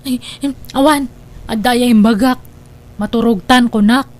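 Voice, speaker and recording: A high-pitched, cheery young wife in a comedy drama, acted by a woman, who is lazy and always after a free meal. She wheedles and giggles in an Ilocano Filipino accent. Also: fairly even intonation, measured pace, lightly acted. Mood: sheepish.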